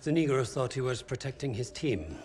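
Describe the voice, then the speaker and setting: A man's voice: mid-range voice, big pitch swings, a little fast, darkish tone, slight background noise, close to an everyday voice. A man playing a sly, arrogant, sneering Nazi interrogator in a scripted war game scene. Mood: cold, sneering. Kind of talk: scripted game cutscene dialogue. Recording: dramatic music behind the voice.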